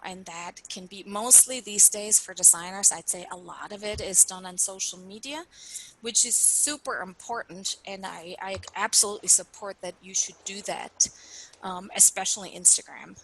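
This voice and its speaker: Bright-voiced Swiss woman, German native. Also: medium pitch, rough recording. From Switzerland. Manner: chatty, friendly, many fillers. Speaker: PR consultant guest. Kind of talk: webinar interview.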